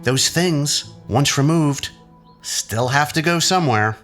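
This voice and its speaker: A man's voice: on the high side, takes it slowly, a bit bright, soft background music. A man playing an arrogant, menacing scientist in an audio drama, cynical and taunting with rhetorical flair. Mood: taunting, intense. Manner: sardonic, theatrical, probing with rhetorical questions.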